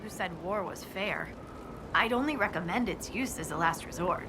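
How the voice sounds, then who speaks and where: A woman's voice: mid-range voice, moderate pace, music in the background, natural voice. An actress playing a friendly young dwarf woman, a wry and candid scout, in natural video game dialogue. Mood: wry, upbeat. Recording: clear background ambient noise.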